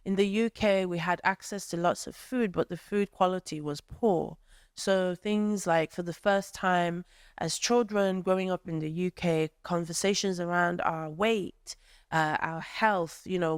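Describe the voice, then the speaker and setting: A woman's voice: lowish voice, clear, resonant tone, no room sound, studio quality. A woman from Nigeria who is an artist, answering a workshop question in a thoughtful way with many fillers. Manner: thoughtful, many fillers, acts out friends' voices.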